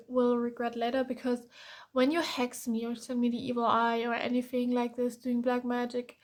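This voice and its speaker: A woman with a German accent, German being her first language, giving a blunt, earnest pick-a-card tarot reading full of ums and restarts. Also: high-pitched. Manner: blunt, earnest, calling the viewer out directly; frequent ums and restarts, repeats "to be honest".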